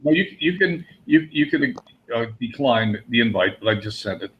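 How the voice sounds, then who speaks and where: A man's voice: medium pitch, animated, moderate pace, slight background noise. A man with a Pennsylvania Dutch accent hosting a live webinar, chatting with viewers and calling on them by name. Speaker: webinar host. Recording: dull lo-fi audio.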